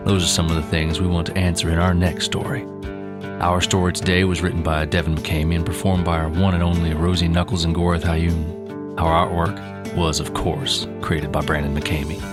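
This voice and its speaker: Very deep-voiced male podcast host. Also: flat delivery, moderate pace. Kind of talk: scripted outro address to listeners. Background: guitar music.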